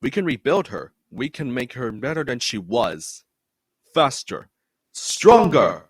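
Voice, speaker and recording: A woman voicing gruff grown-up men in a kids' comic, speaking in a mock-serious, dramatic way. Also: extremely low pitch, sing-song, a little slow, voice pushed down, heavily acted.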